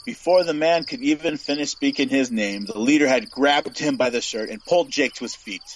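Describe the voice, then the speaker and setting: A man's voice: medium pitch, brisk. Man narrating a story, noisy background.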